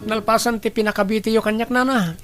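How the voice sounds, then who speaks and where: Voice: mid-range voice, fairly even intonation, a little fast, over music, close to an everyday voice. An actor playing a poor, hardworking young boy in a radio drama, respectful and pleading. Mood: respectful, earnest. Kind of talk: Ilocano radio drama acting.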